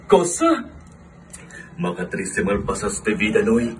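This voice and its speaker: A man's voice: fairly low pitch, measured pace, distant mic, a little hiss or hum, exaggerated voice. A man playing a kind, lonely middle-aged man who takes in an orphan, in a student radio drama. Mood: jovial. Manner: lively, teasing, mixes Spanish-based words.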